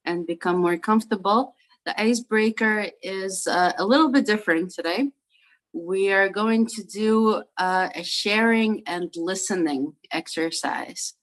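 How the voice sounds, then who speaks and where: A woman's voice: mid-range voice, low-quality recording. A woman hosting an online discussion group over a video call, explaining things in a friendly way with frequent "um"s and "uh"s.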